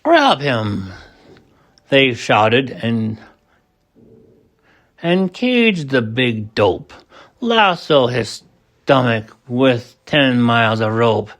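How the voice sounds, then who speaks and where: A man reading a rhyming children's storybook aloud, in a halting way with small stumbles. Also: mid-range voice, very animated, a bit bright. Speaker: audiobook reader. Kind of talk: storybook narration of rhyming verse.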